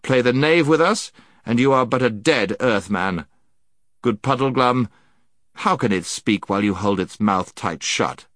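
A man's voice: mid-range voice, animated, a little slow, fairly bright tone, no room sound, studio quality. A man voicing a noble prince in a storybook, gallant and stern, with formal, courtly, commanding speech.

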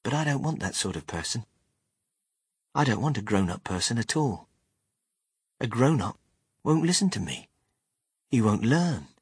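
A man's voice: mid-range voice, very animated, moderate pace, pauses often, slightly rough, dry recording. A man voicing an eccentric, exuberant older chocolate factory owner in a storybook, with theatrical, emphatic delivery. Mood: wistful, confiding. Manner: theatrical, emphatic, with sudden swoops.

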